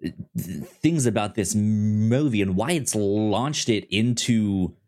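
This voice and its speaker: A man's voice: fairly low pitch, animated, slow pace, a little mellow. A man co-hosting a casual film discussion, stammering and repeating words as he works through his thoughts. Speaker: podcast co-host.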